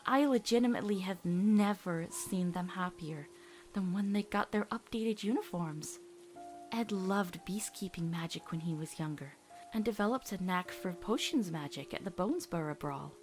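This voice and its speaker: A woman voicing a gentle, steadfast teenage witch girl, speaking in a measured, reassuring way in a dramatic comic dub. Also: on the low side, soft background music, pitched below the performer's own voice, natural voice. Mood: tender, admiring.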